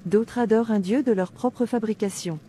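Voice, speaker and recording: Woman as a devout princess, mellow voice. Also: a little high, moderate pace, a little hiss or hum, lightly acted. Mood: earnest preaching. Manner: declamatory, earnest, citing scripture. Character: devout, defiant princess preaching her faith. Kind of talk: sermon-like dubbed cartoon dialogue.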